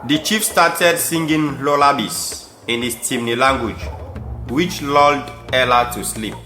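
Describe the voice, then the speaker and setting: A man with a Sierra Leonean accent narrates a scripted audio drama at an unhurried, slow pace. His voice echoes in the room, and music plays clearly behind him. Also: medium pitch, bright, forceful tone.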